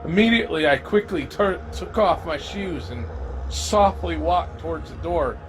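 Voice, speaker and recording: A man playing a wounded, frightened survivor, telling his story in a dramatic, halting first-person horror audio drama delivery. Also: a little high, animated, over music, lightly acted, rough recording. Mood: tense, hushed. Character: terrified wounded male survivor of a zombie horde.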